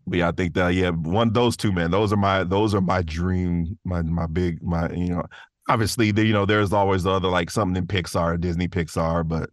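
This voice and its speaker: A man's voice: low voice, steady tone, brisk, dry recording, clean studio sound. A man speaking informally as a guest voice actor in a casual interview, chuckling between phrases.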